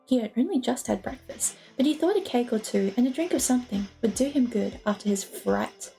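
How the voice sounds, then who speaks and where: A woman's voice: on the high side, lively intonation, brisk, gravelly, dark tone, low-quality recording. A woman streamer reading a storybook aloud as a dramatic narrator. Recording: music playing behind the voice.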